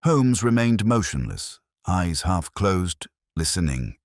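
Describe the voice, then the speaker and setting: A man reading a dramatic mystery story in a solemn, measured, literary storytelling manner. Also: low-pitched, very animated, dry recording, clean studio sound. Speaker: audiobook narrator. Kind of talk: scripted dramatic mystery narration.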